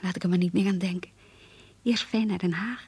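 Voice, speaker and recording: A woman playing a naive, pious schoolgirl in love, breathy and earnest, confiding her longings in a radio play. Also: on the low side, steady tone, fairly quick, a little mellow. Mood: wistful, confiding. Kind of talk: radio drama, diary-like monologue and dialogue.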